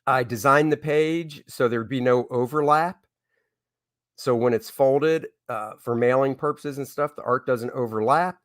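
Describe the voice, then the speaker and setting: A man's voice: mid-range voice, measured pace, dry recording, studio quality. A man hosting a casual livestream as a comic book artist, chatting informally with viewers and reading out their comments.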